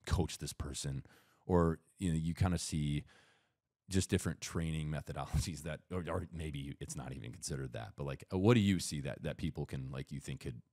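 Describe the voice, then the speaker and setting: Very deep-voiced man, dry close sound. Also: fairly quick, a little mellow, no room sound. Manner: laid-back, swears casually, many fillers. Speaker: podcast co-host. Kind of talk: casual chat. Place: podcast studio. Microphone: headphones and boom mic.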